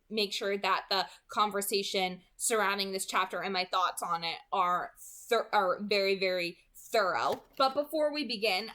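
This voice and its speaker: A woman hosting a read-aloud, speaking in an earnest, expressive storytelling way. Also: mid-range voice. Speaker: host reading a novel aloud. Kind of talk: dramatic audiobook-style read-aloud with casual introduction.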